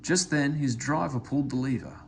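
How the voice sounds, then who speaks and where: A man reading a children's story aloud in an expressive, animated way. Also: mid-range voice, brisk, creaky, slight background noise. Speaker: storybook reader.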